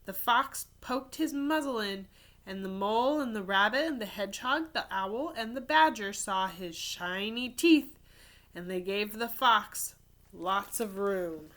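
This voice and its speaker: A woman teacher reading a picture book aloud to children in an expressive storytelling style, with casual explanatory asides. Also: mid-range voice, a little slow. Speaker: third grade teacher reading to pupils.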